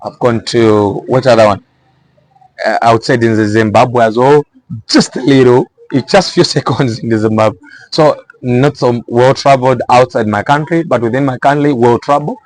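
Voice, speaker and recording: A man from Zambia with a Zambian accent and a mid-pitched voice talks informally to his viewers. He gives practical business advice as an explainer, often repeating a phrase to drive it home. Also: normal speed, no echo, rough recording. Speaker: vlogger giving small business advice.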